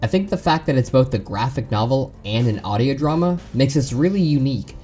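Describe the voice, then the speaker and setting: A man's voice: medium pitch, animated, fairly quick, a little mellow, soft background music. A man hosting a podcast, chatting casually and enthusiastically as he interviews his guests. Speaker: podcast host.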